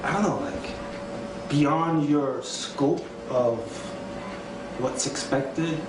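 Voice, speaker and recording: A laid-back man rambles about his music with plenty of fillers. He sits away from the mic, so he sounds distant and roomy. A steady noise runs underneath. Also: fairly high pitch, drawn-out words. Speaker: guest musician. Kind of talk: casual interview chat.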